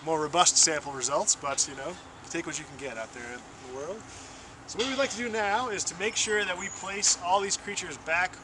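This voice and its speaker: A young American man giving an explanatory training talk in a halting way, as an instructor in the field. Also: a little high. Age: young adult. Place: outdoors on a wooded riverbank. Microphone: camera mic a few steps away. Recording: distant camera mic, noisy outdoor ambience.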